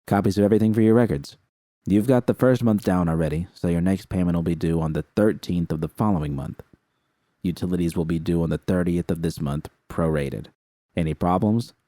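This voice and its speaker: A man voicing a gruff middle-aged landlord who talks in a curt, businesslike way. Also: low-pitched, fairly quick, warm tone, no room sound, clean studio sound, lightly acted.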